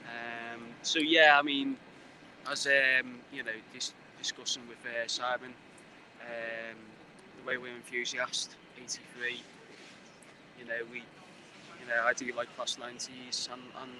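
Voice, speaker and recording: Liverpool-accented man, mid pitch, halting casual chat outdoors, clear traffic and train noise behind. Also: little pitch movement. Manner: hesitant, many fillers and restarts, level rising and falling. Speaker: guest. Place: outdoors at a railway station. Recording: noisy outdoor livestream. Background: trains and street noise.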